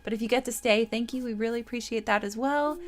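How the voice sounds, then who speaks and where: A woman's voice: a little high, quick pace. A woman hosting a casual game stream, chatting with viewers in a friendly, giggly, effusively thankful way.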